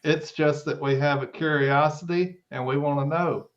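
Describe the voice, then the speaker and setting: A man's voice: fairly high pitch, steady tone, moderate pace, muffled recording. A man talking casually as a podcast guest in a folksy, plain-spoken way. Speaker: podcast guest, Bigfoot investigator. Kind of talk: casual conversational discussion.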